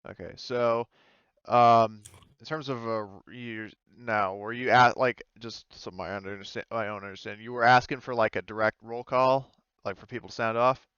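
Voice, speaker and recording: A man's voice: mid-range voice, fairly even intonation, moderate pace, fairly bright tone, smooth, no room sound, muffled recording. A man casually narrating a tabletop roleplaying game as game master, speaking in hesitant, stop-start phrases.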